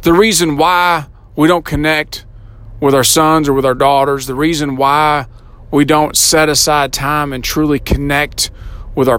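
A man with a slightly hoarse voice, hosting a solo motivational podcast, earnest and repeating himself, often asking "right?" and slowing down to stress a point. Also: fairly high pitch, a bit bright. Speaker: podcast host.

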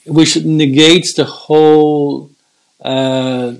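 A man's voice: fairly high pitch, little pitch movement, very slow, gravelly. An older man who stutters, with repeated words and restarts, speaking in an earnest, reflective way in a casual conversation. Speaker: guest.

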